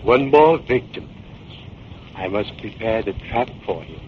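A man's voice: on the low side, expressive, measured pace. A man playing a sly, cringing, murderous crook in a 1940s radio drama. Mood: gloating, sinister. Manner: wheedling, obsequious, then gloating. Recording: muffled, noisy 1940s radio recording.

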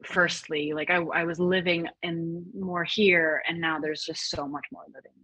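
A woman speaking as a guest on a podcast, chatting in an expressive, animated way with a breathy voice. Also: lowish voice, measured pace, no echo, poor audio. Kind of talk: casual interview chat.

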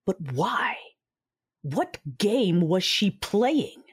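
A woman's voice: mid-range voice, big pitch swings, very slow, husky, heavily acted. An actress playing a cold, vengeful Sith lord and brooding mother, narrating her own story in an audio drama. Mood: brooding suspicion. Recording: background music under the voice.

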